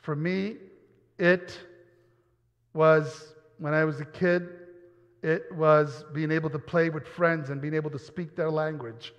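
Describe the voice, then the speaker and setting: A man's voice: fairly high pitch, steady tone, measured pace. Earnest man preaching in a reverberant hall. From New York, US. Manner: earnest, repeats words when stumbling. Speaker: pastor. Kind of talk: personal testimony sermon. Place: church. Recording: dull sound with long hall reverb.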